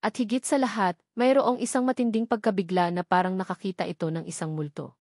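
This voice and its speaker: Female narrator for dramatic scripted fiction, medium pitch, very dry sound. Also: steady tone, fairly quick, darkish tone, dry recording. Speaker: story narrator.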